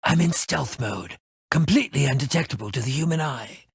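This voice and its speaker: A man's voice: mid-range voice, expressive, a little fast, gravelly, husky, dry recording, studio quality, exaggerated voice. An actor playing an eccentric, self-important man who fancies himself a ninja in a video game, speaking in a pompous, dramatic, wordy manner. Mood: secretive, self-important. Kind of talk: animated video game voice acting.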